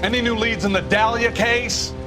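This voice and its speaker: A man's voice: very high-pitched, fairly even intonation, normal speed. A man playing a skeptical, insistent newspaper reporter in a 1940s crime game, calling out challenges. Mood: challenging. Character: pushy, skeptical male newspaper reporter at press scrum. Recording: music behind the voice.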